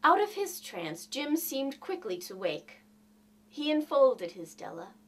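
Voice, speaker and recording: A woman reading a classic short story aloud with theatrical, deliberate emphasis. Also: high-pitched, sing-song. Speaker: reader of literature. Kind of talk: expressive audiobook narration of a classic short story.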